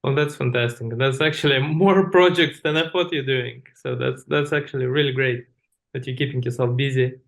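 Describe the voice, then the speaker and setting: Male podcast host with a creaky voice, loose rambling questioner. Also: mid-range voice, fairly even intonation, normal speed, fairly bright tone. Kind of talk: podcast interview.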